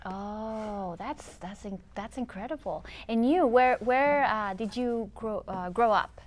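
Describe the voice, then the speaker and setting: Effusive high-voiced woman host. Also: takes it slowly. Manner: effusive, repeats praise. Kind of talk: TV interview.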